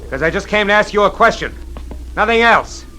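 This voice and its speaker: An actor playing a tough, steady private detective in 1940s radio style, clipped and commanding, narrating and talking in character. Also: male voice, high voice, a little fast, a little hiss or hum, rough recording. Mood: persuading. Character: tough, steady male private detective, hardboiled but decent. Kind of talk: 1940s radio acting with first-person narration. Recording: old radio drama recording with music.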